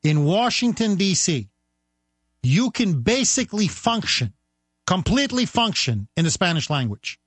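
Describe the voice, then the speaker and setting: A man's voice: sits high, big pitch swings, unhurried, husky, dry recording. Guy hosting talk radio, rhetorical and pushy, speeds up on his points, dry studio sound. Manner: forceful, rhetorical, speeds up when pressing a point. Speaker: guest radio host. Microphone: studio microphone.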